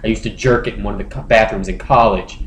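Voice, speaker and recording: A man's voice: lowish voice, steady tone, fast talker, a bit bright. Man reading aloud in echoey room. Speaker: comedy podcast host. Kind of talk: casual comic reading of internet posts. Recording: roomy echo, faint noise.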